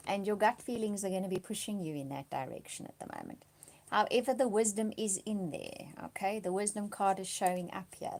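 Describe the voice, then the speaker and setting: A woman doing a monthly tarot reading, slightly hoarse from a cold, speaking softly and reassuringly, dropping to a whisper as she reads the cards. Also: lowish voice. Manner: soft-spoken, often drops to a whisper reading cards; reassuring, often checks in with "okay?". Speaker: tarot card reader.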